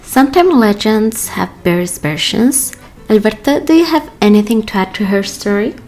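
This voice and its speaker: Measured woman hosting a podcast. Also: medium pitch, lively intonation, a little mellow, soft background music. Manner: measured, read-aloud introductions. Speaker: podcast host.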